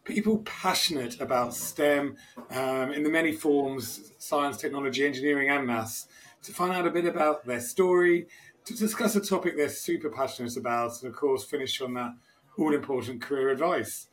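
A man's voice: medium pitch, moderate pace. A man hosting a podcast, introducing his guest in an upbeat, enthusiastic way. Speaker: podcast host.

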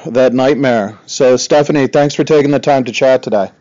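A man conducting a casual interview, speaking in a hesitant, pausing way. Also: medium pitch, dark tone, dull audio.

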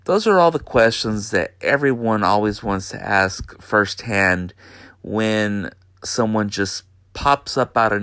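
A man from Texas, hosting a podcast from his bedroom in an unhurried, hesitant way with lots of fillers. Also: lowish voice, pushed and bright, dry recording, studio quality. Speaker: podcast host. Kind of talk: casual monologue.

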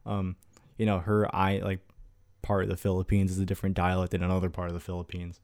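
A man's voice: low-pitched, monotone, fast talker, a little mellow. A man co-hosting a podcast, chatting casually and asking curious questions, often apologizing as he cuts in. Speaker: podcast co-host. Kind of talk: casual chat.

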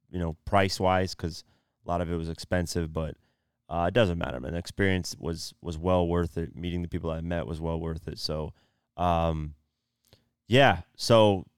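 A tired young man hosting his own podcast, losing his voice and keeping the energy low, talking casually with lots of fillers. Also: clean tone, no room sound, studio quality. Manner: laid-back, subdued, lots of fillers like "you know" and "um". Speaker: podcast host. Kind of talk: casual solo podcast talk.

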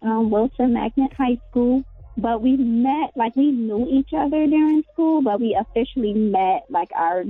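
A woman's voice: fairly high pitch, normal speed, breathy edge, dry recording. Woman in her thirties on a phone line. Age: 30s. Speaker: guest. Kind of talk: casual interview.

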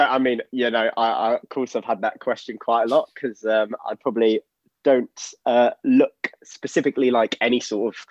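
A young man talks in a hesitant, rambling way, full of filler words. His voice sits a little low. The audio is dull and very dry, as on a lo-fi call. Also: dry recording. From London. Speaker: guest, actor. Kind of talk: casual remote interview.

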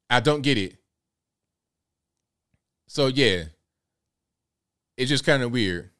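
A man in his late thirties hosting a casual livestream, talking in a relaxed way and reading out viewer comments. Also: medium pitch, smooth. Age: late 30s. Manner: laid-back, conversational, repeats himself. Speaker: livestream host.

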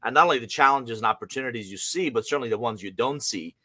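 A man's voice: medium pitch, quick pace, bright, forceful tone, no room sound. A man hosting a business podcast, friendly and upbeat as he welcomes a guest and asks questions. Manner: upbeat and friendly, polished interviewer. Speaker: podcast host.

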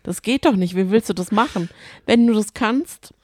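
A woman's voice: mid-range voice, moderate pace, a little mellow, no echo, studio quality. A woman co-hosting a podcast, talking casually about reality TV shows. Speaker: podcast co-host.

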